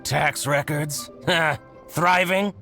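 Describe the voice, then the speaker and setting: An actor playing an excitable, scheming merchant in a video game, blustering and theatrical, jealous of his rival. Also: on the high side, extremely slow, creaky, full character voice. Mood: indignant, blustering. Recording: loud game music behind the voice.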